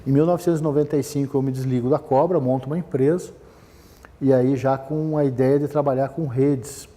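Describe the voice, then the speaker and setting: A middle-aged man from Brazil, telling his career story in a relaxed talk, often hesitating and restarting. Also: mid-range voice, a little fast, long reverb tail. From southern Brazil. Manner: rambling, many fillers and restarts. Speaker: business manager giving a talk. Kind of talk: conversational talk, personal history.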